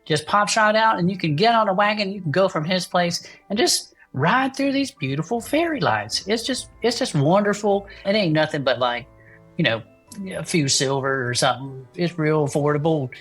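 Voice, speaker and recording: A man playing a folksy, good-natured small-town radio co-host with a Southern US accent and a husky, drawling, enthusiastic delivery. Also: high voice, normal speed, a bit bright, soft background music. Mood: folksy, wholesome. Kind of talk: improvised in-character audio drama chat show.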